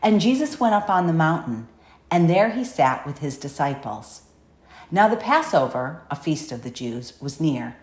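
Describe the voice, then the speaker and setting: A woman narrator reading scripture from a script in a measured, solemn way. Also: sits low, sing-song, a little mellow, reverberant. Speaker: audiobook narrator.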